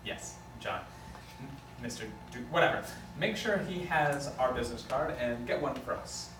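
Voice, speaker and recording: A man's voice: mid-range voice, animated, moderate pace, over music, natural voice. A man reading the part of an earnest, bossy lawyer given to speeches in an amateur script table read. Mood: exasperated. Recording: distant, echoey, noisy room.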